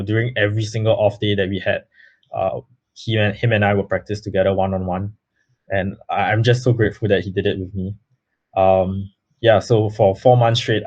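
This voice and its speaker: A man's voice: on the low side, a little mellow. A young man from Singapore, giving earnest interview answers full of fillers and restarts. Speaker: guest, baseball player. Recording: slightly muffled lo-fi audio.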